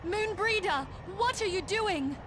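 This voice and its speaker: A woman's voice: extremely high pitch, lively intonation, unhurried, noisy background. A woman playing an earnest, devout young mage heroine in a fantasy video game, intense and formal in her delivery. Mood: alarmed. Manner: intense, pleading, formal diction.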